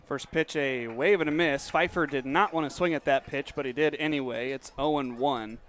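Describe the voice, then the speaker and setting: A young adult man in a stadium broadcast booth, calling baseball play-by-play on radio and asking interview questions between pitches. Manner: brisk game calling between relaxed questions.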